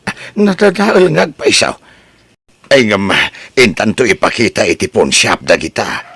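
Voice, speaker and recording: A man's voice: fairly low pitch, wildly swooping pitch, moderate pace, gravelly, slightly rough, heavily acted. A man with an Ilocano accent, acting a worried younger relative in an audio drama, often questioning. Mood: excited. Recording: soft music behind.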